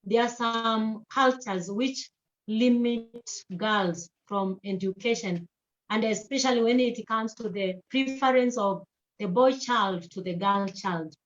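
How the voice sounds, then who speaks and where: Kenyan woman guest speaker, earnest and repetitive, fairly high voice, muffled audio. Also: slow. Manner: earnest, repetitive, with many restarts. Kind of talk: panel talk answering questions.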